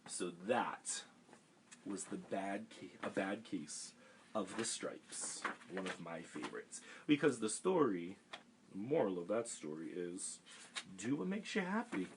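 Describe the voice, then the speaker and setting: A man reading a picture book aloud for a children's storytime, animated and expressive. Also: lowish voice, unhurried, a little hiss or hum.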